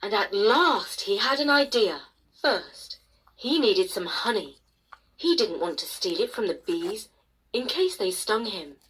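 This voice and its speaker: A woman's voice: mid-range voice, sing-song, measured pace, a bit bright, rough recording. Woman reading a bedtime story, faint noise.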